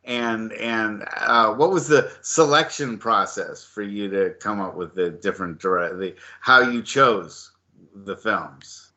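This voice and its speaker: Bright-voiced male podcast host. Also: fairly low pitch, slow, dull audio. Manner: enthusiastic, stumbling restarts. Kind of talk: interview hosting.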